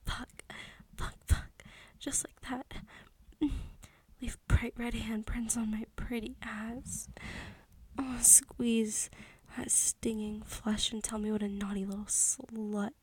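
High, soft, breathy whispering woman. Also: steady tone, takes it slowly, rough recording. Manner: breathy whispering, close and hushed; pleading, submissive tone. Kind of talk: intimate adult audio roleplay.

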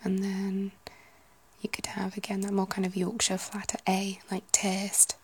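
A woman's voice: mid-range voice, completely flat delivery, normal speed, husky. A woman in her thirties with a British English accent, whispering casual ASMR chat and musing to herself. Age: 30s. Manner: whispering, musing, self-correcting.

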